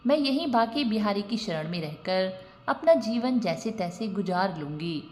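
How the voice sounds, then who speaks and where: A woman's voice: medium pitch, expressive, normal speed, darkish tone, close to an everyday voice. Woman voicing a sorrowful old mother, reverberant. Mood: plaintive, wary. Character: abandoned old mother, resigned, sorrowful, devout, wary. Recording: long reverb on the voice.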